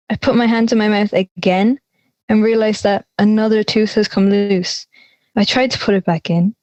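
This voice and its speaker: A woman's voice: mid-range voice, a little mellow, no echo, lightly acted, rough recording. Young woman as an anxious schoolgirl, dry close sound. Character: anxious schoolgirl confiding her dreams and fears. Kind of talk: audio drama monologue and dialogue.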